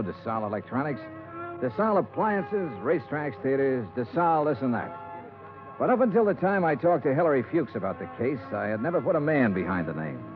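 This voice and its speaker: A man's voice: mid-range voice, lightly acted. A man acting a hard-boiled, world-weary insurance investigator in a 1950s radio detective drama, wry, clipped and matter-of-fact. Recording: tinny, band-limited 1950s radio recording.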